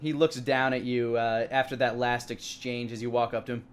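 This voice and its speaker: Man in his 30s, mid-pitched, improvised tabletop game narration, halting delivery. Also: little pitch movement, smooth. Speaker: dungeon master.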